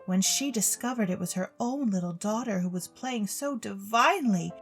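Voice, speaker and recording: A woman's voice: medium pitch, normal speed. Woman narrating a storybook over music. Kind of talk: theatrical scripted storybook narration for an audio drama. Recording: music bed under the voice.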